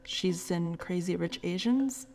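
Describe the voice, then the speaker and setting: A woman guest chatting in a relaxed, good-humored way. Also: fairly low pitch, takes it slowly. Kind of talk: casual chat. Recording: reverberant room with music underneath.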